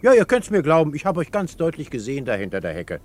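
An actor playing a jovial grown man who addresses naughty children in a theatrically genial, drawn-out way, in a children's radio play. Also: fairly high pitch, wildly swooping pitch.

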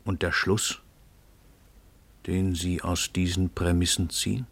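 An actor playing an imposing, self-assured world-famous crime writer in a radio play, husky, ironic and condescending. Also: male voice, low-pitched, flat delivery, slow, full character voice. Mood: probing, unruffled.

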